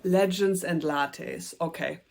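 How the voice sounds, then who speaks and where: A young woman with a German accent talks through her reading list in a chatty, earnest way. Her voice sits a little low for a woman, and she sometimes slips in a soft, self-conscious laugh. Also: takes it slowly, creaky. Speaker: booktuber. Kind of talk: casual book talk to camera.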